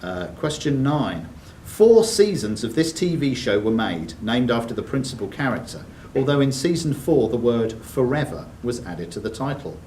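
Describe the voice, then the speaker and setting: A man's voice: medium pitch, very animated, normal speed, few pauses, a little mellow. A man working as a quiz master, reading questions aloud to contestants. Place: indoors in a club room, mic at a distance. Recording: roomy hall, distant mic, faint noise.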